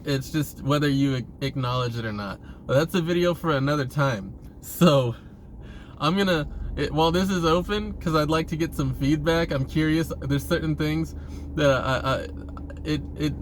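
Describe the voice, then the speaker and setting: A man's voice: fairly high pitch, normal speed, a little hiss or hum. A man talking casually in a rambling monologue, hesitant and often restarting his phrases, as a vlogger posing questions.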